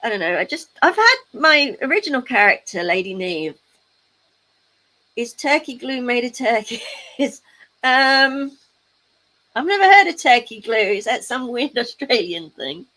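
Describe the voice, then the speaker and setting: Woman, crafting stream host, slightly high voice, unhurried, teasing asides, casual chat. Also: big pitch swings, bright, forceful tone.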